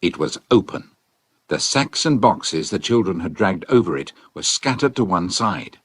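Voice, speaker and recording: A man narrating a storybook in an expressive, dramatic way. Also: sits low, dry recording.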